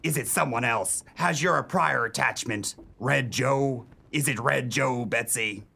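A gravelly old pirate for a radio comedy, speaking in stage pirate dialect. He is conceited and scheming, with a forceful, cutting edge to his creaky voice. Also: mid-range voice, a little slow, exaggerated voice. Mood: scornful, suspicious. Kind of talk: comic radio play acting.

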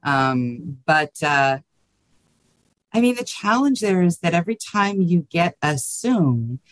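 A woman with a low voice answers as a guest writer in a casual interview. The sound is dry and close, and she talks in a thoughtful, measured way. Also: big pitch swings, no room sound.

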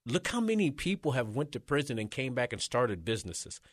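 A man who served time in prison, speaking with a deep, strong voice in a passionate, emphatic way about his experience and rebuilding community. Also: big pitch swings, fairly quick, dry recording, studio quality. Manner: emphatic and preacherly. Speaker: formerly incarcerated speaker. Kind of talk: passionate advocacy talk.

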